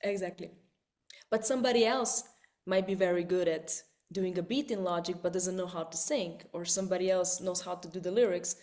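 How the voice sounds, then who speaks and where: Lively woman presenting a webinar. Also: medium pitch. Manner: lively, repeats words, asks listeners "right?". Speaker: webinar presenter and vocal coach. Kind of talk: live online webinar demo.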